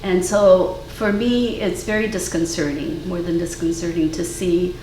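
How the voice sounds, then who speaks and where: An older woman, a guest artist whose first language was Ukrainian, talking in a thoughtful, measured way with many fillers. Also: fairly low pitch, fairly even intonation, mellow. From Cleveland, Ohio, US. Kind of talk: casual interview conversation. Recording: echoey room from far off, faint noise.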